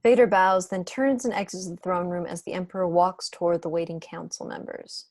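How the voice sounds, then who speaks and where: A woman narrates a script's stage directions. The voice sits fairly low, and the sound is dry and close, as on a video call. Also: steady tone, brisk, a little mellow, dry recording.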